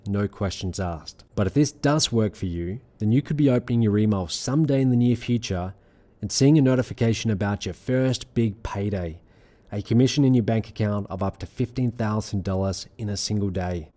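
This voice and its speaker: A man reading a scripted sales pitch as presenter, in an earnest, measured, persuasive manner. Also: mellow. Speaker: presenter of an online money-making sales pitch.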